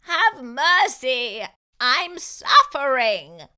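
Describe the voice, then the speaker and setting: A woman's voice: high-pitched, very animated, pushed and bright, smooth, voice pushed up, heavily acted. A woman voicing a sly, self-pitying male fox in a children's storybook, drawing words out in a pleading whimper. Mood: wailing, pleading. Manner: wailing, drawn-out, whimpering delivery.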